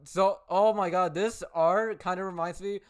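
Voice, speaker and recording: A man's voice: high voice, fairly even intonation, moderate pace, soft background music. A man hosting a reaction video, commenting casually and informally with short exclamations and asides.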